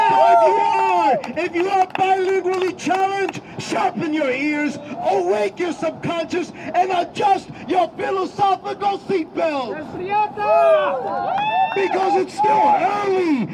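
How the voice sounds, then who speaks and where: A man from Ecuador performing spoken-word poetry, declaiming and often shouting with big swings in loudness and pace. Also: very high-pitched, very noisy.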